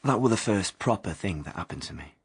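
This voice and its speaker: An actor playing a wry, confiding working-class young drifter, narrating a radio drama in colloquial speech. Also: male voice, low voice, expressive, a little fast, vocal fry, breathy edge, exaggerated voice. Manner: colloquial, non-standard grammar.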